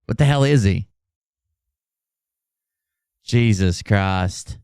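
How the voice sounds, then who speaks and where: A man's voice: lowish voice, extremely slow, darkish tone, clear, resonant tone, no room sound, clean studio sound. A man hosting a commentary show, speaking informally and sarcastically and swearing at times, in a mocking style. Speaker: host of a commentary channel. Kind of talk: mocking commentary with dramatic read-outs.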